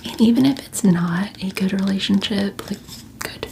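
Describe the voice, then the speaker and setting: A woman's voice: medium pitch, normal speed, creaky, darkish tone, slight background noise. A woman whispering through a chatty ASMR question-and-answer video, full of "like" and "um" fillers. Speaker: ASMR creator.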